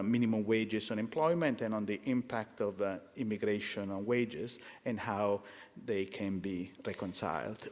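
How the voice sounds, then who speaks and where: Halting low-voiced man introducing a speaker. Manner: halting, many fillers and restarts, clears throat. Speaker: lecture host. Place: lecture hall. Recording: lecture hall with long reverb.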